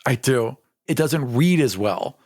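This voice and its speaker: Male podcast editor and co-host. Mid-pitched, dry studio-close sound, conversational, frank, self-mocking. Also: animated, unhurried, darkish tone, dry recording. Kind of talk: casual podcast banter.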